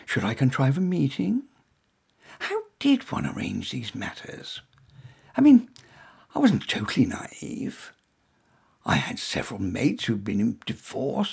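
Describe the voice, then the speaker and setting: A man's voice: medium pitch, vocal fry, darkish tone, slightly rough. A man reading his own short story in the first person, wry, hesitant and self-deprecating.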